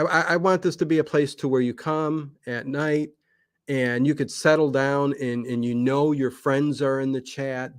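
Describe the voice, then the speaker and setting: A man's voice: mid-range voice, dry recording. A man with a mid-range voice speaks in a casual, rambling way as an interview guest. He often restarts his sentences as he thinks aloud. The sound is dry, with no room on it. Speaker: guest, online old-time radio channel creator. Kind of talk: casual livestream interview chat.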